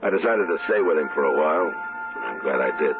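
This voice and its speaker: A very deep, grave American male voice as a steady frontier marshal in an old radio western. The sound is dull and band-limited, with music behind it. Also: quick pace. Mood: measured narration. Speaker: lead actor and first-person narrator. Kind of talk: 1950s western radio drama acting.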